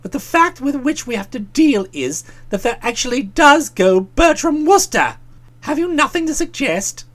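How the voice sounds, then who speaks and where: An excitable young English gentleman from a comic storybook, performed by a male reader. His voice swings widely in pitch as he pleads. He sounds hapless, fretful and plaintive. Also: normal speed, heavily acted. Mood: pleading, fretful.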